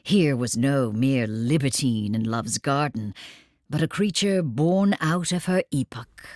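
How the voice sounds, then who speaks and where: An actress playing a dour, impatient woman companion in an audio drama, reciting with a dry, dramatic, measured manner. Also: very deep voice, darkish tone, dry recording. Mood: grave, lyrical.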